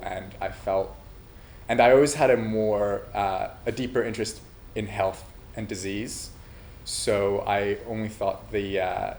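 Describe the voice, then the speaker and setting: A man from Finland who is also a nursing student, answering interview questions about his startup in a measured, thoughtful way, pausing often with "uh". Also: on the low side, darkish tone, slight background noise. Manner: measured, thoughtful delivery with frequent "uh" pauses. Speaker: entrepreneur pitching a startup idea.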